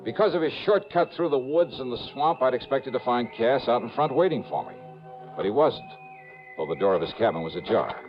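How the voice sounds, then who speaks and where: An American actor playing a wry, hard-boiled investigator, narrating in a clipped, dramatic 1950s noir radio style. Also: male voice, mid-range voice, very animated, fairly quick. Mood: tense, wry. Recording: muffled old radio recording, music underneath.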